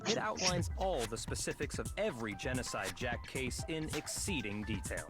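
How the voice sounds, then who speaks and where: A haughty, self-assured young man from a video game, played by an actor, formal and deliberate. His pitch moves widely for emphasis, and music plays clearly behind him. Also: very animated, natural voice. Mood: cool, expository. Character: cold, self-assured young man taking charge of a murder investigation.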